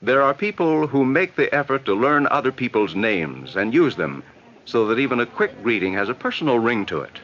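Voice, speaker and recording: An American man reading scripted, instructive narration in a measured, advising way for a 1960s educational film. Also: medium pitch, sing-song, fairly bright tone, a little hiss or hum. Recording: dull vintage 1960s film soundtrack.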